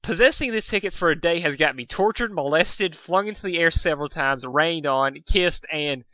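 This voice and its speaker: A man's voice: on the high side, normal speed, sharp tone, no room sound, dull audio, pitched above the performer's own voice. A man voicing a blunt, easily flustered young male pony in a storybook reading, emphatic and exasperated. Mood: fed up. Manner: emphatic, protesting.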